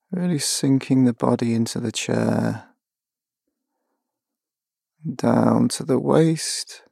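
Slow, creaky-voiced male meditation guide. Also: mid-range voice, no room sound, studio quality. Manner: gentle, measured instruction with long pauses.

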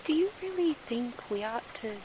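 A woman's voice: on the high side, lively intonation, darkish tone, lightly acted. A woman playing a timid, frightened teenage girl in the style of 1940s radio drama, speaking in a hesitant way. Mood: uneasy. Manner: hesitant, halting, fearful. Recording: noisy recording with rain.

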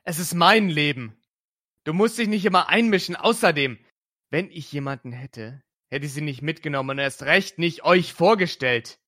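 A man voicing an irritable young adult detective who argues defiantly and shouts in anger. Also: mid-range voice, fairly even intonation, moderate pace, no echo, studio quality, lightly acted. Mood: shouting.

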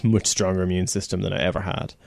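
A man's voice: low voice, little pitch movement, quick pace, vocal fry, a little mellow, no echo, clean studio sound. A man in casual podcast chat, agreeable and chatty, often chiming in with agreement. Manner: agreeable, chatty, frequent backchannel.

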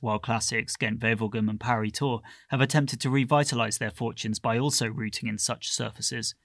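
A man's voice: medium pitch, a bit bright, no room sound, studio quality. A man reading a long-form magazine article as scripted narration.

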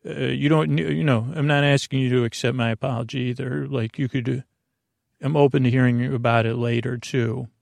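Creaky, meandering male bedtime podcaster. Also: medium pitch, steady tone, a little mellow, no room sound. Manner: meandering, halting, digressive, self-interrupting. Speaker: podcast host. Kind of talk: rambling bedtime monologue to lull listeners to sleep.

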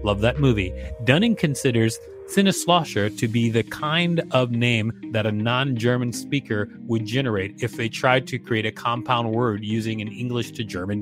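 Man narrating over prominent music. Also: mid-range voice. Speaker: podcast co-host reading an encyclopedia article. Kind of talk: scripted narration with brief casual asides. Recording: music behind the voice. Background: instrumental music.

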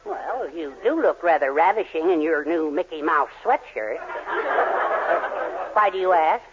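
Wry, swooping sarcastic gentleman. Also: on the high side, animated, unhurried, slightly rough. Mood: wryly teasing. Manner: dry, mock-pompous teasing. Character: wry, sarcastic older male household head. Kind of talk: 1940s radio sitcom acting. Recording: muffled, noisy 1940s radio recording.

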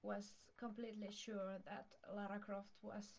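A native Finnish woman co-hosts a relaxed podcast chat. She speaks slowly at an average pitch, hesitating as she searches for words, with faint noise in the background. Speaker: podcast co-host. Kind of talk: casual chat.

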